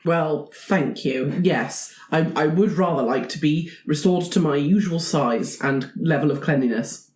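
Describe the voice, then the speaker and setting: A man's voice: a little high, a little slow, a little mellow, lightly acted. A man voicing a polite, stuffy knight of yore in a tabletop game, with formal, hesitant courtesy. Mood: polite discomfort. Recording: echoey room.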